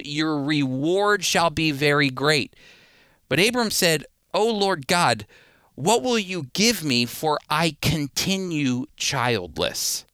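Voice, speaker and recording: Bright, crisp-voiced man preaching. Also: on the high side, dry recording. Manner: emphatic, with deliberate pauses and direct appeals to listeners. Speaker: preacher teaching a Bible series. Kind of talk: sermon, expository storytelling with scripture reading.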